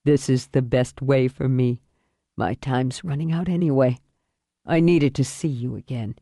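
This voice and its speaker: A dying middle-aged father as a female narrator plays him, low and mellow, speaking weakly and haltingly. He is weary and regretful with a wry tough streak, in a dry audiobook recording. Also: no echo, heavily acted. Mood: weary tenderness. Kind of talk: audiobook thriller character acting.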